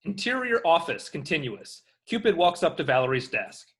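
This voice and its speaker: A man's voice: fairly high pitch, very animated, moderate pace, fairly bright tone. A man reading screen directions aloud from a script as a narrator.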